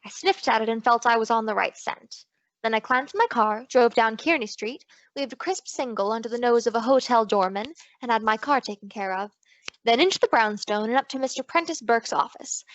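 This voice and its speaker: A woman's voice: a little high, a bit bright, no echo. A woman playing a sassy, breezy lady detective with wry quips in an old-time radio drama. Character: sassy, wisecracking lady private detective, confident and flirtatious. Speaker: detective lead and narrator. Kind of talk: old-time radio detective acting and first-person narration. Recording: dull lo-fi audio.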